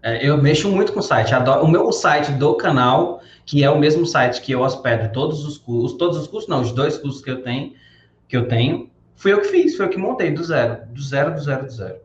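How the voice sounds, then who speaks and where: A man's voice: fairly high pitch, a little fast, roomy sound, dull audio. A man from Ceará, Brazil, a guest on a podcast, chatting casually about his work and often repeating phrases as he goes. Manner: relaxed, repeats phrases as he talks. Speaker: podcast guest, biomedical course coordinator.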